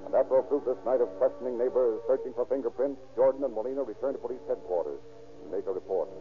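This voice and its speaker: A man giving formal, measured, portentous scripted narration in the manner of 1930s radio drama. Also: mid-range voice. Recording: tinny old radio recording with music.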